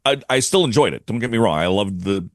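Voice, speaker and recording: A man gives his take in a casual podcast discussion. His voice sits a bit low, and the sound is close and dry. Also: lively intonation, quick pace, no room sound. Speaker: podcast panelist.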